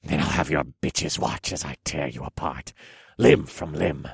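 A man voicing a towering, cruel elf general in a fantasy audiobook, with a raspy, menacing snarl and commanding, boastful delivery. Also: very deep voice, a little slow, dry recording, clean studio sound, voice pushed down, exaggerated voice. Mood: cruel threat. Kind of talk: acted audiobook character dialogue.

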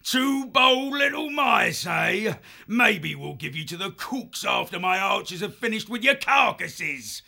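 A man's voice: sits high, big pitch swings, gravelly, exaggerated voice. An actor playing a cruel, menacing male foxwolf warlord in an audiobook drama, with a sneering, gloating delivery. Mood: gloating cruelty. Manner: slow, sneering, swinging between hushed and barked.

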